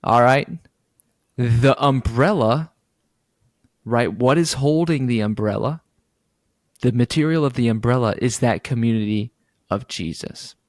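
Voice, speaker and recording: Male co-host, casual podcast chat, mid-pitched, dry close mic. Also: a little slow, dry recording. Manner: playful, laughs often, recaps a church sermon series.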